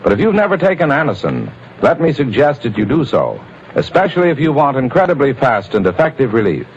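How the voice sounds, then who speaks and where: A man's voice: mid-range voice, wildly swooping pitch, fairly quick, slight background noise. A man announcing a radio drama and reading its sponsor's message in formal, declamatory old-time style. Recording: dull lo-fi old radio recording.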